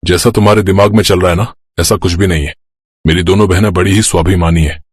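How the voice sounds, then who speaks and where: A man's voice: low-pitched, steady tone, fast, husky, no echo, studio quality. A man voicing an earnest, emphatic adult brother in a moral-story cartoon. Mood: earnest, defiant.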